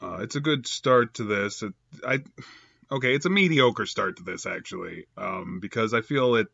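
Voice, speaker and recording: A man's voice: lowish voice, moderate pace, gravelly, fairly bright tone, slightly rough, dry recording, lo-fi sound. A man with a stuffed-up cold voice talking casually and rambling, full of fillers and self-corrections. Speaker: comic book reviewer. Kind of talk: casual improvised review.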